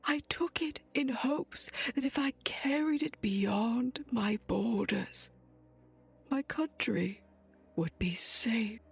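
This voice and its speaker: A secretive, weary witch of dark fantasy audio drama. Her voice is very husky and creaky, often close to a whisper. She speaks slowly and haltingly, with grave weight. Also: a little high, faint music behind, heavily acted. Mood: grave, choked up. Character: weary, dying foreign witch, secretive and solemn.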